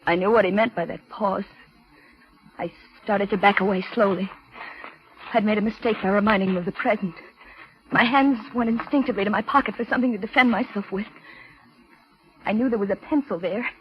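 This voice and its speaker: An actress playing a frightened, desperate young woman in a 1940s radio suspense drama, narrating and speaking in a halting, breathless way. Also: mid-range voice, lightly acted. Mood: tense, hushed dread. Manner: breathless, halting, repeats words. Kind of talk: 1940s radio suspense acting, first-person narration and dialogue. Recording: muffled old radio recording, music behind.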